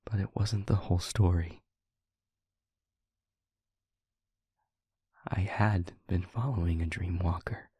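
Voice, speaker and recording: A man playing an anguished demon in an intimate audio roleplay, speaking haltingly and hushed, pleading with someone he loves. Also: deep voice, dry recording, studio quality, full character voice. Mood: somber confiding. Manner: halting, hushed, breathy near-whisper, pleading. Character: anguished male demon confessing to a loved one.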